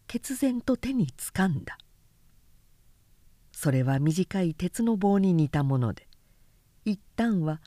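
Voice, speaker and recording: A woman narrating literary fiction aloud as an audiobook, with measured, deliberate phrasing and pauses. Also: on the low side, warm tone, dry recording. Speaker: narrator reading a novel aloud.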